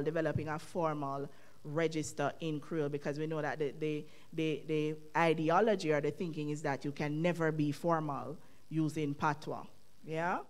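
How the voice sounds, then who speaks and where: A woman in her thirties with a Jamaican accent, answering questions on a university panel in a thoughtful, measured way at a podium microphone. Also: sits low. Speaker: conference panelist. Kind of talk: academic panel discussion. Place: university lecture hall. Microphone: microphone on stand at podium.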